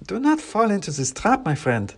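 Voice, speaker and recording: A man's voice: high voice, huge pitch swings, normal speed, pitched above the performer's own voice, heavily acted. A man doing a stiff wooden nutcracker soldier. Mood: gloomy. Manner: stiff, clipped speech with odd word order.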